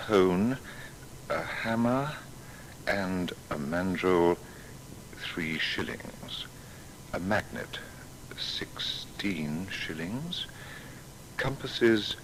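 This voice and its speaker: An actor playing a proud, fastidious young male scholar in a dramatised historical monologue, with deliberate, precise, declamatory delivery. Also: low voice, fairly bright tone, a little hiss or hum, exaggerated voice, poor audio.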